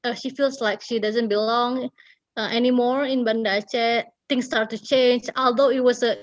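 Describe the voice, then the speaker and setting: A woman with an Indonesian accent telling a story casually, often halting as she searches for words. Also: fairly high pitch, fairly even intonation, dull audio. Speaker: writer and guest storyteller. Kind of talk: casual storytelling in an online talk.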